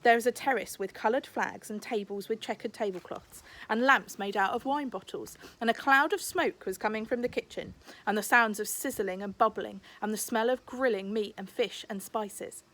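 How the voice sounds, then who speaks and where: A woman's voice: mid-range voice, moderate pace, fairly bright tone, low-quality recording. A woman narrating a children's storybook aloud, like a teacher reading to a class.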